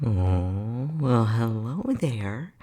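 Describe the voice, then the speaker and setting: A man's voice: lowish voice, animated, very slow, dark tone. A man playing an unhinged, bossy scientist who teases and condescends in a sardonic ASMR roleplay. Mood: smug, playful. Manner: sardonic, teasing, condescending, with frequent sighs.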